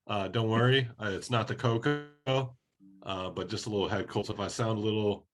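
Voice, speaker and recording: A man from Oregon co-hosting a soccer podcast, talking in a relaxed, casual way while slightly hoarse from a head cold. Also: lowish voice, normal speed, music in the background. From Oregon, USA. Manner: laid-back, conversational, many fillers. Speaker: podcast co-host.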